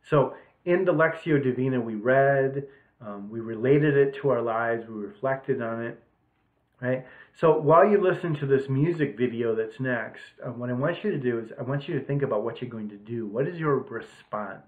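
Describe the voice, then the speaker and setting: A man's voice: medium pitch, normal speed. A middle-aged man giving an informal devotional talk as a retreat leader, conversational, often checking that listeners agree. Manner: conversational, seeks agreement with short rhetorical checks. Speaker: priest leading an online retreat. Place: small home room, indoors. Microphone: webcam or laptop at desk distance. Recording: roomy echo, narrow thin webcam sound.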